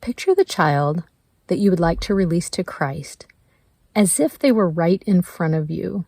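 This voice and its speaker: A woman leading a guided meditation in a gentle, measured way, leaving pauses between her prompts. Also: fairly low pitch, lively intonation, dry recording. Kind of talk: slow guided meditation narration.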